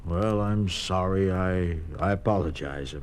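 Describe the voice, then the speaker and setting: An actor playing a blustering, easily cowed shopkeeper in a 1950s radio drama, flustered and prone to stammering. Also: male voice, low-pitched, measured pace, a little mellow. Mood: frightened, stammering. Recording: noisy old radio recording.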